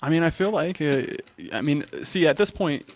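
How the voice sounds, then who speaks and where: A man's voice: fairly high pitch, moderate pace. A man casually commentating a live poker stream with a co-host, laughing often and rambling a little. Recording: dull stream audio with faint hiss.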